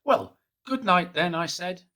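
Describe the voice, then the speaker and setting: A man gently reading a children's picture book aloud in the first person, in a soft, hushed and unhurried way. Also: sits high, lively intonation. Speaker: storybook reader.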